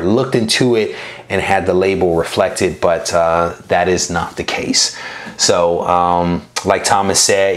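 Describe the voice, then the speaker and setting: A man in his forties hosting a comic collecting livestream, chatting casually and reading viewer comments, relaxed and rambling with many fillers and restarts. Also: low voice. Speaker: comic collecting channel host.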